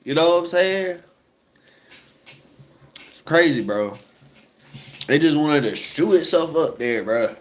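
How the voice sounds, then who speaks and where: A man's voice: fairly high pitch, lively intonation, slow pace, pauses often, slight background noise. A congested young man chatting casually and rambling to his viewers, full of slang and fillers. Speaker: vlogger.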